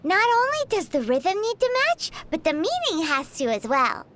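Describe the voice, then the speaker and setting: A woman's voice: very high-pitched, huge pitch swings, normal speed, a bit bright, full character voice. A woman voicing a tiny, cheeky floating companion creature in a video game, bubbly and teasing, talking about herself in the third person. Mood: chatty.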